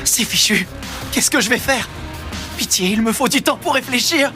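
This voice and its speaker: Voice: medium pitch, lively intonation, moderate pace, music in the background, lightly acted. A performer playing an earnest, anxious but determined teenage boy hero in a French anime dub. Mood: worried. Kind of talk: French-dubbed anime acting.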